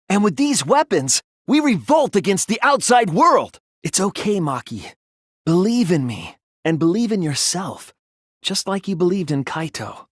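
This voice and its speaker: A man's voice: high-pitched, no room sound, clean studio sound. A young man voicing an earnest, wounded but defiant teenage boy detective in a dramatic video game dub, emotional and emphatic. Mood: impassioned defiance, then quiet, somber determination. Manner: emotional, emphatic, swinging from hushed to impassioned.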